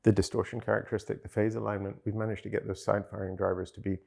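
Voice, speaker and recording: A man giving measured technical explanations in an interview, often pausing mid-sentence as he goes. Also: fairly low pitch, little pitch movement, vocal fry, mellow. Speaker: audio company chief executive interviewee.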